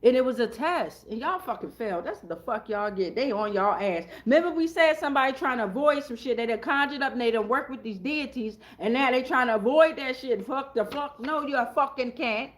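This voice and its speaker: A woman's voice: sits high, expressive, brisk, hardly any pauses. A woman hosting an improvised tarot reading, talking casually in a blunt, slangy, profane way and repeating phrases for emphasis.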